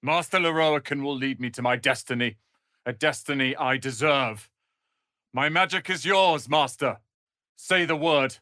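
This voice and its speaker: A young male apprentice wizard for a fantasy video game. He is haughty, ambitious and prickly, with crisp, sardonic phrasing. His mid-pitched voice has a sharp, forceful edge and sits very dry, with no room around it. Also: measured pace, no echo. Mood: proud, determined. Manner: haughty, clipped, sardonic, articulate. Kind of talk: dramatic video game voice acting.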